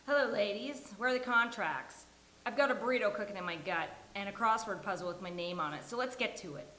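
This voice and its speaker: A woman's voice: medium pitch, fairly quick, fairly bright tone, a little hiss or hum, close to an everyday voice. A woman playing a brusque, impatient, cynical businesswoman in a live sitcom table read. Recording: roomy live venue sound.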